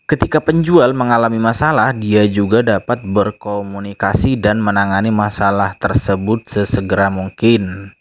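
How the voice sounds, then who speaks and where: A man vlogging casually, reading social media posts aloud and explaining them with many filler phrases, voiced over a screen recording. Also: fairly low pitch. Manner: repeats "ya teman-teman ya" often, reads posts aloud then explains. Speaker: crypto news vlogger. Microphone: phone mic, voice-over on screen recording.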